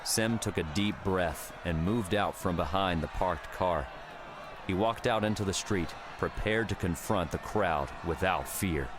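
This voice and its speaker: A man narrates a story in a low-pitched voice. It is a scripted, dramatic audiobook read with faint noise behind it. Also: a little slow, a crowd in the background.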